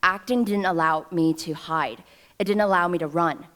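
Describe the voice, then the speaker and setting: A woman in her thirties tells her own story from a ceremony stage, speaking into a podium microphone. Her voice sits a little lower than most women's. She has a wry, self-deprecating humor. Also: normal speed, a bit bright. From New York City. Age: 30s. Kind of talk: personal storytelling speech. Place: ceremony stage before an audience.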